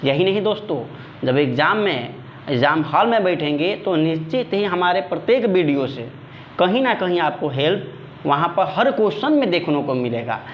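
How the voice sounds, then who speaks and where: Guy teaching an exam class online, kinda high voice, emphatic, repeats stuff, muffled lo-fi mic. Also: sing-song, moderate pace, slight background noise. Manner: emphatic, repeats phrases for stress. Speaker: exam coaching science teacher. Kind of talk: explanatory online lesson addressed to students.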